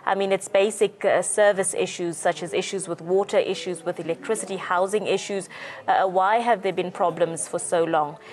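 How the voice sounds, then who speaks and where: A woman's voice: on the low side, fairly even intonation, moderate pace, a little mellow. A South African woman in her thirties presenting live news as a studio anchor.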